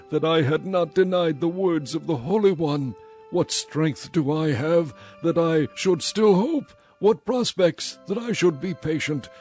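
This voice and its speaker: A man's voice: medium pitch, creaky, darkish tone. An actor playing a despairing, suffering man who laments in solemn anguish in a dramatized scripture reading. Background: music.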